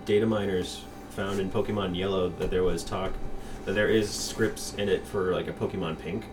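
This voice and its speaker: Young man hosting a podcast, very low voice, sits back from the mic, noisy room. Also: steady tone, normal speed. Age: 20s. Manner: talkative, explains at length, laughs often. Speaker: podcast host. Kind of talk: casual podcast chat. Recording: distant mic with clear background noise.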